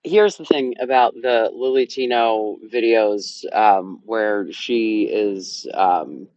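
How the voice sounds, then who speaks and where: A man reacting to a video in casual chat, hesitant and halting with lots of fillers. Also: lowish voice, a little mellow, no echo, muffled recording. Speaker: guest reactor.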